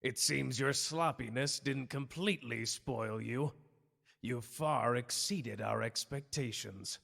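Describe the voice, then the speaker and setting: A man playing a stern, scheming older superior with a gravelly voice, curt, formal and condescending, in a dramatic audio roleplay. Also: medium pitch, slow, a bit bright, exaggerated voice. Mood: cold, disdainful. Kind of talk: dramatic ASMR character roleplay. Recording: long cavernous hall reverb.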